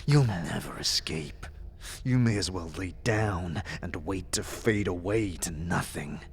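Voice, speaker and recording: A man's voice: low voice, a little slow, a little hiss or hum. A man voicing a paranoid, mad earlier self of an immortal man in an audio drama, erratic between sly muttering and frantic outbursts. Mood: sly menace.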